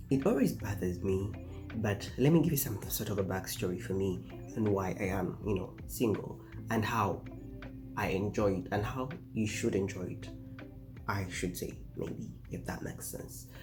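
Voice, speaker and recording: A man, chatting casually to camera in a rambling way, full of fillers and restarts. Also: on the low side. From Uganda. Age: 20s. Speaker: vlogger. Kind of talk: casual talking-head vlog.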